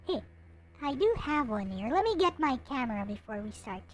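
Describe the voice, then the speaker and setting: A woman livestreamer with a high, very lively voice talks casually to her chat. She takes her time, hesitating and murmuring to herself as she goes. There is light but noticeable noise in the recording. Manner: halting, muttering to self while setting up. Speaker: livestream host.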